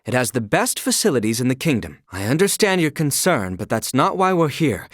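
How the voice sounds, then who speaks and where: A man's voice: medium pitch, big pitch swings, fairly quick, dry recording, studio quality. An actor playing a loyal young knight in a video game, speaking in a formal, old-fashioned way, dutiful and protective of his princess. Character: earnest young male knight, loyal royal guard.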